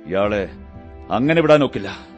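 A shrewd, commanding detective for radio drama. The voice is male and on the low side, with measured, analytical phrasing and a scornful edge. Faint music sits in the recording. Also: expressive, dull audio. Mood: stern, scornful. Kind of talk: Malayalam radio drama acting.

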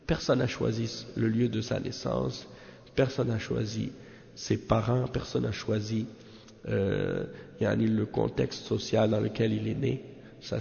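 Male religious teacher, creaky mid-pitched voice, didactic and repetitive delivery, heavy hall reverb. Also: a little mellow. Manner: didactic, repeats phrases for emphasis, rhetorical check-ins. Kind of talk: extemporaneous religious lesson explaining a commentary. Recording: muffled, long hall-like reverb.

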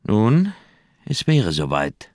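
An actor playing a worried, sombre older doctor in a radio drama, grave and hesitant. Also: male voice, fairly low pitch, wildly swooping pitch, slow pace, darkish tone, no echo, studio quality, full character voice.

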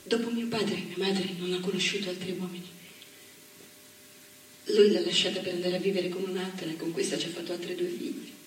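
A woman acting in a theatre monologue, playing a grown woman who was raised by her seamstress mother, speaking softly and reflectively. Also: fairly low pitch, little pitch movement, brisk, a little hiss or hum, lightly acted. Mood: wistful. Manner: reflective storytelling, rising to firm declaration. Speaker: stage actress. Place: theatre stage. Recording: echoey stage recording from far off.

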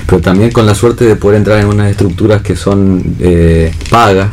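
Deep-voiced Argentine man, reflective. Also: steady tone, moderate pace, a little mellow. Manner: reflective, checks in often with tag questions. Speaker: theatre director, radio guest. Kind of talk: casual radio discussion. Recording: noisy radio studio recording.

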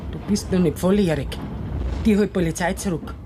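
A woman's voice: lowish voice, brisk, background noise, natural voice. An actress playing a hard, scheming, middle-aged mother who quarrels in Bavarian dialect in a radio drama. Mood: angry.

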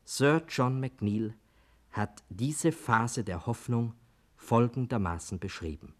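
Formal male presenter, deliberate and emphatic. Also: medium pitch. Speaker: presenter giving the recap. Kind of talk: 1950s radio scripted narration.

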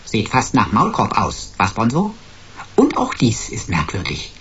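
An actor voicing a sarcastic computer sidekick in a German sci-fi radio play, with pompous, florid, mock-servile delivery. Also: male voice, lowish voice, huge pitch swings, unhurried, a bit bright, breathy edge, lo-fi sound, full character voice. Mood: mock-servile, smug.

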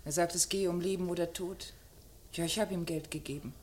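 An actress playing a reserved, sorrowful middle-aged office worker in a radio crime drama, giving hesitant, guarded answers. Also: female voice, fairly low pitch, steady tone, brisk, a little mellow, a little hiss or hum, natural voice. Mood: wistful, guarded. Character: reserved, loyal, sorrowful unmarried woman, former office colleague.

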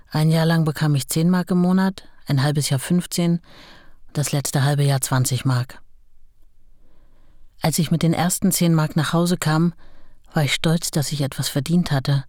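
A woman's voice: low voice, darkish tone, dry recording, studio quality, close to an everyday voice. An actress playing a modest working-class woman recalling her youth in a radio play, in a plain, matter-of-fact way.

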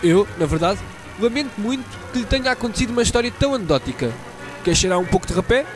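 A man playing a pompous, fast-talking newspaper clerk. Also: sits high, expressive, music in the background. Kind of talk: student radio drama.

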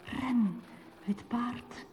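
A woman's voice: on the high side, extremely slow, darkish tone, slight background noise. An actress playing a grave, dreamy woman in a poetic radio play, speaking solemnly and lyrically in a dull lo-fi recording. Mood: solemn, imploring.

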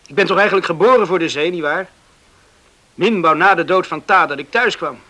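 A man's voice: high-pitched, brisk, bright, forceful tone. A man acting a troubled, restless young islander who longs for the sea in a Dutch radio play, evasive and discontented.

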